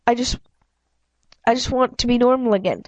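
A woman's voice: fairly high pitch, moderate pace, dry recording, lightly acted. A woman voicing a scared, defiant fourteen-year-old boy in a storybook, stammering and protesting. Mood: desperate.

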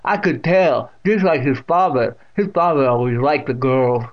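A man voicing a leering old deaf great aunt in a storybook, loud, slow and deliberate. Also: mid-range voice, lightly acted. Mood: gleeful, teasing. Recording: dull lo-fi audio.

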